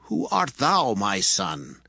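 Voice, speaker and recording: A man's voice: lowish voice, huge pitch swings, slow pace, vocal fry, sharp tone, husky, no echo, studio quality, full character voice. A man playing a frail old blind father in a dramatized Bible drama, solemn and tender in archaic scripture dialogue. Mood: searching, tender.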